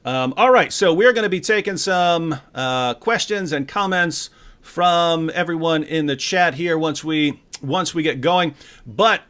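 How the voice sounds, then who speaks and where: Man, livestream host, fairly high voice, jokey and energetic. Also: a little slow. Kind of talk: live-stream talk show hosting.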